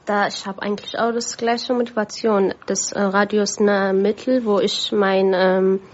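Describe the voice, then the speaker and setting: A woman from Ethiopia presenting on the radio, earnest and slightly halting. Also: medium pitch, little pitch movement. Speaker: guest presenter. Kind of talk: casual radio talk. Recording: dull lo-fi sound with faint background.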